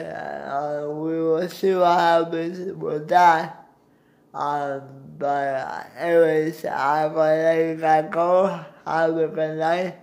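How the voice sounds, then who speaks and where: A young man talks through a casual sports recap, recorded on a phone in a room at home. His voice sits a little high for a man. He speaks slowly and haltingly, often searching for the next word. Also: a little mellow. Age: young adult. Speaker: sports vlogger. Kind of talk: casual postgame basketball recap. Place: small indoor room at home. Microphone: phone at arm's length.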